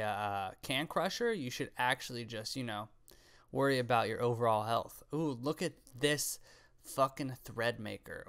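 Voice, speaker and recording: A young man records casual reaction commentary up close on a studio microphone. His voice sits at a medium pitch, and he talks off the cuff with slang, swearing and playful sarcasm. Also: gravelly. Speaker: reaction video creator. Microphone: close studio microphone on arm, with headset.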